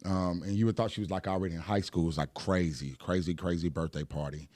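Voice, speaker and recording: Man in his thirties, atlanta accent, very deep voice, dry studio sound, relaxed storytelling. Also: quick pace, no room sound. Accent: Southern US, Atlanta. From Atlanta, Georgia. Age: 30s. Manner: laid-back storytelling with frequent fillers and laughs. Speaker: DJ guest. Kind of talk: casual podcast interview. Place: podcast studio.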